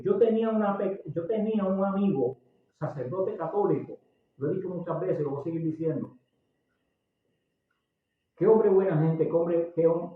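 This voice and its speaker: A man in his sixties from Puerto Rico with a Puerto Rican accent, preaching a sermon emphatically, getting loud and slowing down on key words. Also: high-pitched. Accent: Puerto Rican Spanish. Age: 60s. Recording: tinny, echoey, distant recording.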